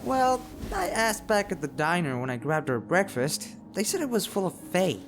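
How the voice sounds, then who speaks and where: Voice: medium pitch, very animated, normal speed, noisy background, lightly acted. A performer playing an earnest, naive, slightly indignant young sidekick with formal phrasing in an audio roleplay. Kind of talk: ASMR audio roleplay acting.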